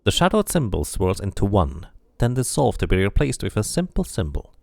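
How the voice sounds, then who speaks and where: Gravelly, animated male game streamer. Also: fairly low pitch, moderate pace, no room sound. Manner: reads narration aloud, then chats casually and laughs. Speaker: streamer and narrator. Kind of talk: casual game playthrough, reading all text aloud.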